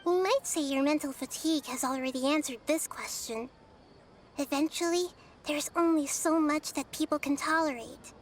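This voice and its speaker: A woman voicing a gentle, wise, child-like goddess in a video game, with a light girlish voice and a measured, explanatory manner. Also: high voice, slight background noise, natural voice. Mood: calm, explanatory.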